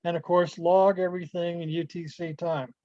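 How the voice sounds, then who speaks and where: Halting man presenting, dry dull call audio. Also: sits high, little pitch movement, no room sound. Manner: halting, pausing delivery. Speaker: club presenter. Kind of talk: informal slide presentation over video call. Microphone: video call.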